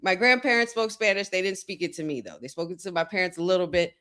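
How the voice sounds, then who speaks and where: A woman's voice: fairly low pitch, fast talker. A young woman with an American accent, co-hosting a podcast in casual chat and reading news with easy laughs and fillers. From United States. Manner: relaxed, laughs often, trails off with fillers. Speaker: podcast co-host.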